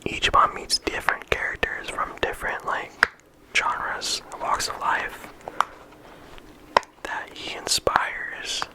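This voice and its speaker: A person whispering in ASMR style, talking through a discussion in a casual, halting way with lots of filler words. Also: rough recording. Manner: casual, halting phrasing with frequent "like" and "you know". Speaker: video creator and host.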